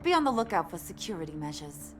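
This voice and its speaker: A woman's voice: fairly low pitch, very animated, fast, soft background music. A woman playing a brash, mocking gang leader in a video game, bossy and playfully menacing, with a taunting sing-song delivery. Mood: smug.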